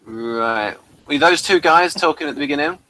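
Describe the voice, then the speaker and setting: A man's voice: a little high, moderate pace, bright, forceful tone, low-quality recording. A man hosting a casual review discussion in an informal, conversational way. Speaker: host.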